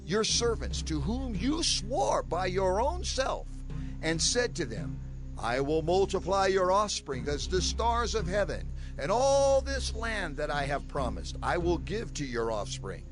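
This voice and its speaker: An actor playing a fervent prophet and leader in a scripture audio drama, declaiming with impassioned intensity. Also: male voice, high-pitched, sing-song, a little slow, poor audio. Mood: pleading. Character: Moses, fervent male prophet and leader of his people. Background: music.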